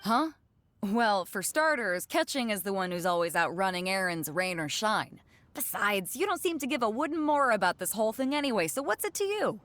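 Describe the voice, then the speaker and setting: A woman's voice: medium pitch, very animated, a little fast, fairly bright tone. A woman voicing a brash, easygoing female sea captain in a video game, with a blunt, casual, teasing manner. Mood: irked, blunt.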